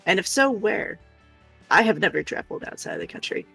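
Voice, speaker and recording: A woman's voice: mid-range voice, wildly swooping pitch, fairly quick, vocal fry, sharp tone, breathy edge, soft background music, low-quality recording. An American woman co-hosting a livestream, chatting casually with viewers. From United States. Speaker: livestream co-host.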